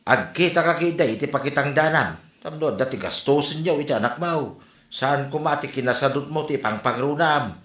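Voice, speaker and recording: A man's voice: fairly high pitch, expressive, moderate pace, a bit bright. A man playing a reproving father of grown daughters in an Ilocano comedy drama, lecturing with an Ilocano accent. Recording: roomy echo, faint music.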